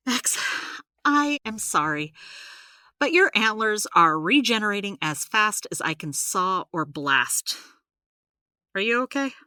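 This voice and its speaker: Audio drama healer: practical caring mentor, very animated delivery, dry close sound, rambling explanations. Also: female voice, medium pitch, no echo. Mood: concerned. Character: supernatural healer woman, practical, chatty, gently caring mentor.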